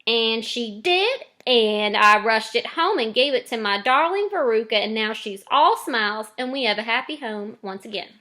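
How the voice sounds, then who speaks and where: A woman's voice: fairly high pitch, expressive, moderate pace, few pauses, bright, forceful tone. A woman voicing a doting rich father, effusively telling a story about his spoiled daughter. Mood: pleased.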